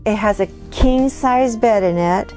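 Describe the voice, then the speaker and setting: A woman's voice: medium pitch, unhurried, a little mellow. A middle-aged woman from Cuba, a native Spanish speaker, giving a casual guided tour of her home as its owner. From born in Cuba. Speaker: homeowner giving a house tour. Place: small wood-panelled house interior. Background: background music.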